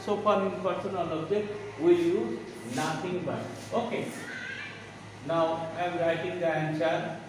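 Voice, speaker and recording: A man, teaching a grammar lesson slowly and repeating phrases as he writes on the board. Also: high voice, steady tone. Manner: slow, repeats phrases while writing on a board. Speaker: school English teacher. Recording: echoey room, distant mic, background noise.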